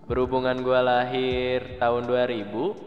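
A man's voice: medium pitch, completely flat delivery, a little slow. Young man musing in an echoey room. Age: 20s. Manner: candid, self-doubting, rambling with fillers. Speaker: podcast host. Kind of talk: casual podcast chat. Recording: echoey, dull lo-fi podcast with music bed.

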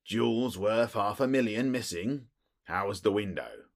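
A man voicing a stout, self-important middle-aged police detective in an audiobook, with a muffled, husky, wheezy voice and blustering, clipped phrases. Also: fairly low pitch, a little slow, pitched above the performer's own voice. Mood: brisk, bluff. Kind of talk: audiobook character voice.

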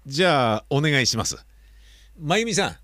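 A man's voice: on the high side, sing-song, normal speed, fairly bright tone, a little hiss or hum. A polite middle-aged father. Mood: earnest. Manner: formal, courteous. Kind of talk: radio drama acting.

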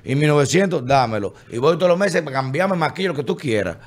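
A man, co-hosting a podcast in heated casual political chat, animated and mocking as he acts out hypothetical people. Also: on the high side, quick pace. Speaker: podcast co-host.

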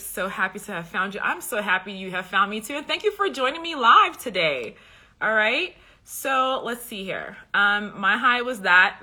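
A woman's voice: mid-range voice, bright, forceful tone. A woman from Liberia hosting a live podcast stream, chatting casually and upbeat with her viewers, with lots of ums. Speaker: podcast host and coach.

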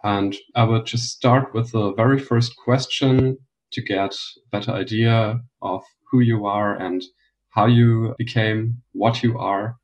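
A man's voice: fairly low pitch, steady tone, takes it slowly. A man co-hosting a podcast, asking his questions in a loose, informal way. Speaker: co-host, researcher. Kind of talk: interview questions. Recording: muffled lo-fi audio.

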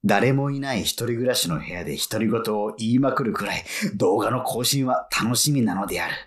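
An actor playing an excitable male fan who lives alone, narrating and muttering to himself in a flustered way in a radio drama. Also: lowish voice, fairly quick, lightly acted. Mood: narrating, bewildered. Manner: animated, self-muttering, easily flustered. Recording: faint music behind.

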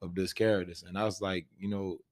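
A deep-voiced American man, a stage actor, talks casually about his experiences. He speaks in slangy, conversational style, often checking that the listener follows. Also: steady tone, brisk. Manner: slangy, often says "you know what I'm saying".

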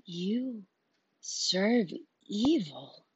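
A woman's voice: medium pitch, sing-song, drawn-out words, breathy edge, dry recording, lo-fi sound, heavily acted. A woman reading an earnest upset young man. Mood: firm.

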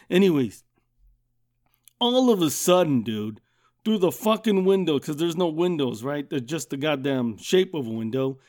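A middle-aged man hosts his podcast and tells a childhood story in a loud, swearing, lively voice. His voice sits a bit high for a man. He laughs often and keeps the telling casual. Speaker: podcast host.

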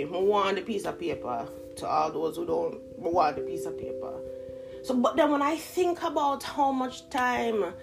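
A woman's voice: mid-range voice, gravelly, low-quality recording. A woman in her thirties with a Jamaican Patois-inflected Toronto accent, talking straight to camera in a casual motivational monologue, laughing often and switching between slang and standard English. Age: 30s. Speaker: vlogger.

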